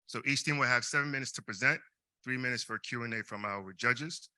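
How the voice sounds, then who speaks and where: A man's voice: medium pitch, fairly quick, pushed and bright, no room sound. A young man, a first-year business student, hosting a live case competition and stumbling over repeated words. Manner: hesitant, frequent repeated words. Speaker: student event host. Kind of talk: live event emceeing.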